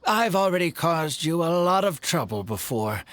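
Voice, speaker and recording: A man playing a gravely ill former desert mercenary, who is sincere and apologetic. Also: on the high side, very animated, normal speed, fairly bright tone, no room sound. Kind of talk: game voice acting.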